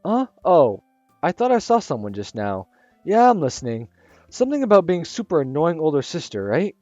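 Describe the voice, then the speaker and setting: A man voicing a calm, dry, teasing teenage boy from a visual novel, low and deadpan. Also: normal speed, no echo. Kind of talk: reading a visual novel aloud. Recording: slightly muffled with soft music.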